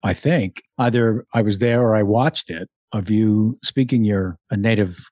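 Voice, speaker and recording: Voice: medium pitch, a little slow, darkish tone, no room sound, lo-fi sound. A podcast host interviewing a guest in a playful, jokey way. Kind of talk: casual interview.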